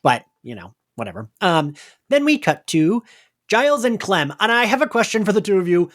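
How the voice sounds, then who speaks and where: A man hosting a podcast, casually recapping a story in a chatty way full of fillers and quick to laugh. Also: high voice, very animated, a bit bright, no room sound, studio quality. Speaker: podcast host. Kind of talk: casual recap chat about an audio drama.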